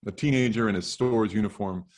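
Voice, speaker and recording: A man's voice: lowish voice, brisk, a little mellow. A man reading a first-person novel aloud as its author, with a dry, wry delivery. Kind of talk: literary audiobook-style reading.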